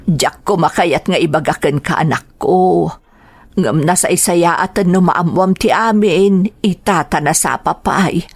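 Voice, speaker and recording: A woman's voice: fairly low pitch, measured pace. A woman playing a concerned mother who confides hard truths to her grown son in a radio drama, earnest and motherly.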